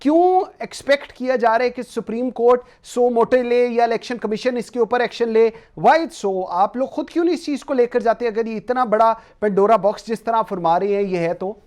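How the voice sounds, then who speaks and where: A man's voice: very high voice, normal speed, few pauses, a little mellow. A man with a Pakistani accent hosting a political talk show, putting long, probing questions and cutting in politely. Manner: wordy, probing, interjects politely.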